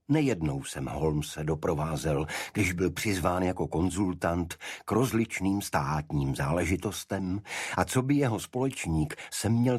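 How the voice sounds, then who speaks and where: Low husky male audiobook narrator. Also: darkish tone, no echo, studio quality. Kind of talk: scripted first-person detective story narration.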